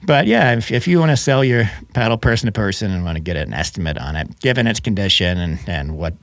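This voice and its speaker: Guy hosting a chill podcast, bit hoarse from a cold, laughs a lot, low-ish voice, dry sound. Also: expressive, fast, a bit bright, no echo. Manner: chatty, laughs often, frequent restarts. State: slightly hoarse from a head cold. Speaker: podcast host. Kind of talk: casual podcast chat.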